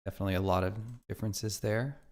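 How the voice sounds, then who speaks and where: A man's voice: low voice, steady tone, fast, clear, resonant tone. A man streaming his game development live, chatting casually, thinking aloud and reacting to viewer chat.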